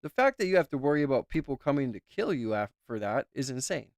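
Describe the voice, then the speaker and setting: A man's voice: medium pitch, sing-song, quick pace, no echo, studio quality. A man commenting as a YouTuber, talking in a rambling, emphatic way and repeating himself. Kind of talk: casual reaction commentary.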